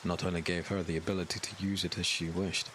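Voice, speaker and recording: A man narrating a scripted dark fantasy audiobook in a grave, dramatic storytelling manner. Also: deep voice, level tone, fast, slight background noise.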